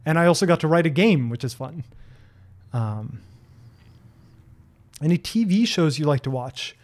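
Chatty Norwegian man, higher-pitched. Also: lively intonation, a little slow. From Norway. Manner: chatty, many ums and restarts, reads questions aloud. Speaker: streamer answering viewer questions. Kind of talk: casual livestream Q&A. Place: home office. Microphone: desk broadcast mic close to face.

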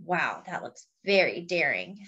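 A woman reading a picture book aloud to young children and commenting on the pictures, expressively and with pauses for emphasis. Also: deep voice, big pitch swings, sharp tone, breathy edge, highs cut off. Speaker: children's storybook reader.

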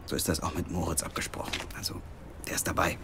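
An actor playing a driven, persuasive investigative team leader in an audio drama, casual yet insistent. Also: male voice, low-pitched, level tone, fast. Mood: confiding, affectionate. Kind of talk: naturalistic German audio drama acting. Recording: clearly noisy background.

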